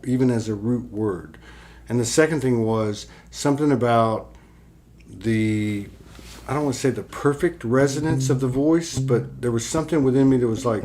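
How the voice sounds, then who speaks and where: A man's voice: mid-range voice, a little mellow. Earnest man rambling over guitar music. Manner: rambling, earnest, many "like"s, swears casually. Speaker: host. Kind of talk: casual spiritual chat. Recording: guitar playing behind.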